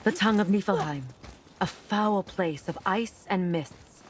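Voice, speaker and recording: A woman performing a solemn, wise woman of old magic who guides others in a fantasy video game. Her medium-pitched voice is measured and knowing, with clear background noise behind it. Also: close to an everyday voice. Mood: grave. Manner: measured, knowing, gently instructive.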